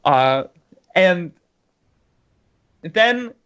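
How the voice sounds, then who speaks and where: A woman guest chatting casually on a podcast, halting and searching for words with many fillers. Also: on the low side, lively intonation, fairly bright tone, clear, resonant tone. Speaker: guest gamer and streamer. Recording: dull lo-fi remote audio.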